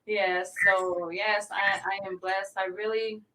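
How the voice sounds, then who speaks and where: A woman from Samoa, a guest in a casual interview chat, who talks in an expressive way and laughs often. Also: mid-range voice, slow pace. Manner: warm, laughing, expressive storyteller. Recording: distant mic with background noise.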